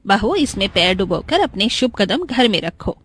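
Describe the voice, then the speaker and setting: A stately, wealthy older mother-in-law from a cartoon moral tale, acted by a woman storyteller. She is kind-hearted and composed, giving orders at a medium pitch. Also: sing-song, moderate pace, fairly bright tone, husky, no room sound. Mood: formal welcome.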